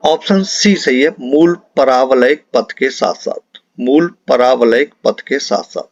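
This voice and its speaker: A male teacher leads an exam-prep quiz lesson in a mid-pitched voice. He is instructive and repeats questions and answers so they stick. The recording is dry and a little muffled and lo-fi. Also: unhurried, no room sound. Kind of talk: explanatory quiz lesson, talking to students.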